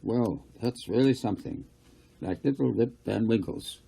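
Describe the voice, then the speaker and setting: Storybook farmer, acted by a man: older, plain-spoken, curious, husky and mellow, with rustic turns of phrase. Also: lowish voice, a little slow, heavily acted, low-quality recording. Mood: puzzled, gossipy. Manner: folksy dialect, musing.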